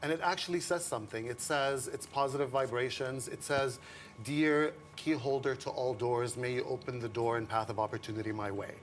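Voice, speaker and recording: A man's voice: mid-range voice, brisk. Chatty designer man, Arabic native. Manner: relaxed, chatty, laughs often. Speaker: fashion designer guest. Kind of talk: morning TV interview. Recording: TV studio with faint background noise.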